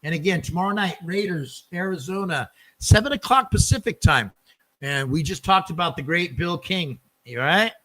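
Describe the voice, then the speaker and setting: A man's voice: high voice, animated, moderate pace, pushed and bright. A man hosting a sports fan podcast, chatting casually and informally with enthusiasm. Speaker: podcast host.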